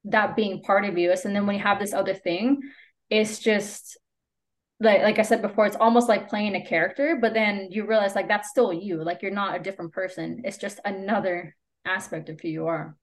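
Woman, Spanish as first language, medium pitch, casual interview chat, informal phrasing, podcast guest. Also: fairly even intonation, normal speed. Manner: informal, frequent "like" as filler. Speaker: podcast guest, copywriter and business owner.

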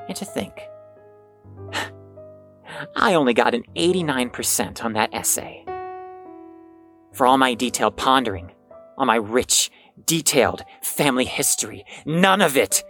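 A man acts out an anxious young heir to a family dynasty in a dramatic audio monologue. He is eloquent and introspective, hard on himself, with a fairly high voice over prominent music. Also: fairly bright tone, natural voice. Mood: bitter.

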